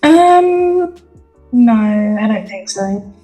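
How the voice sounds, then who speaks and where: High-voiced woman, casual chat. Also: lively intonation, drawn-out words, mellow, soft background music. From England.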